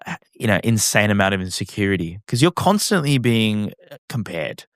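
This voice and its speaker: A man's voice: lowish voice, very animated, brisk, no room sound, clean studio sound. An Australian man talking as a podcast guest, reflective and casual, with many fillers and restarts. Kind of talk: casual interview chat.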